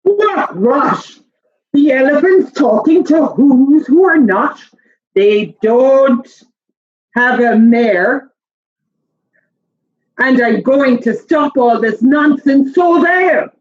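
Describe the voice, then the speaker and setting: A mocking jungle creature from a children's storybook, extremely high and loud. It jeers slowly and scornfully, and the recording is dull. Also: female voice, expressive, heavily acted. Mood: scornful, jeering. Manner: loud, jeering, exclaiming. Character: shouting, scornful, mocking jungle monkey and bird bully. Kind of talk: children's storybook read-aloud.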